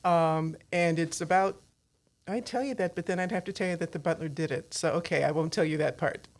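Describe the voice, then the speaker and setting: A woman's voice: on the low side, brisk. A woman hosting a studio talk show, joking and teasing her guests playfully. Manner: playful, teasing, self-mocking. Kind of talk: casual studio chat with jokes.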